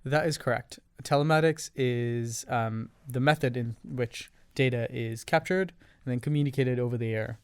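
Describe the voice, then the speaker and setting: A man from Canada speaking as a podcast guest, with a smooth, clear voice in a dry, close recording. He is measured and breaks his explanations into ordered points. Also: medium pitch, no echo. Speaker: guest, revenue operations leader. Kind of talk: interview answers.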